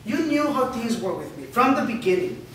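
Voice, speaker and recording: A man's voice: extremely high pitch, sing-song, moderate pace, fairly bright tone, full character voice. A man playing a blunt, self-centred young boyfriend in a staged drama reading. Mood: blunt, dismissive. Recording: echoey room, distant mic, clear noise.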